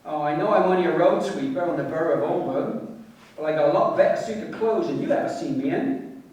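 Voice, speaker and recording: Actor as a high-voiced, bashful road sweeper, echoey. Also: male voice, brisk, darkish tone, lightly acted. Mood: earnest, hurt. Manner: earnest, bashful, colloquial. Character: humble, smitten working-class London road sweeper. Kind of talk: radio play acting. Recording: very echoey, distant from the mic.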